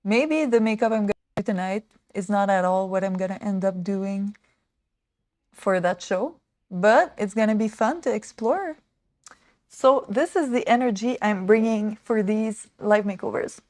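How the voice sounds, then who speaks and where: A woman in her thirties chats through a makeover livestream, rambling and excitable, full of "like" and "um". She talks slowly and takes her time. Her voice is close and dry on a small desk mic. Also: medium pitch, no room sound. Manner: rambling, excitable, many fillers like "like" and "um". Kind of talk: casual chatty livestream talk.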